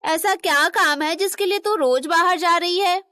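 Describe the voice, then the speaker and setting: Very high voice, woman acting as elderly mother-in-law, scolding yet motherly, cartoon storytelling, dry close sound. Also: normal speed, bright, forceful tone, no echo. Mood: caring, reproachful. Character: stern but loving elderly Indian mother-in-law. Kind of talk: animated moral story dialogue in Hindi.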